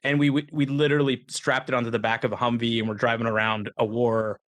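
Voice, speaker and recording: A man's voice: mid-range voice, little pitch movement, quick pace, a bit bright. A man in his thirties, a musician guest, telling rambling, self-deprecating stories in a casual conversation.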